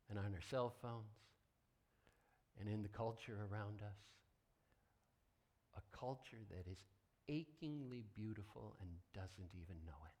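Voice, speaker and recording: A man preaching a sermon as a pastor, deliberate and emphatic, with dramatic pauses and hushed drops. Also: fairly low pitch.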